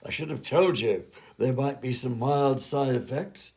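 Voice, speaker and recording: A man's voice: mid-range voice, a little slow, a little mellow, natural voice. A man playing an absent-minded, fussy and polite mad doctor and inventor in a comedy radio play. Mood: breezy, fussy.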